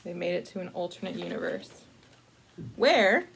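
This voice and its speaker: A woman hosting a casual storytime, chatty and informal, with playful, dramatic emphasis and drawn-out words as she tells a picture-book story. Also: on the low side, expressive, gravelly, a little hiss or hum, low-quality recording. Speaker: librarian storytime host.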